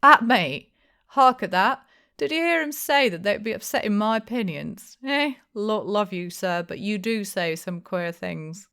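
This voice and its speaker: A woman voicing a blustering, jovial, opinionated male innkeeper in an audiobook, with loud, emphatic, rustic speech. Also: mid-range voice, animated, unhurried, clean tone, pitched above the performer's own voice. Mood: boastful, amused.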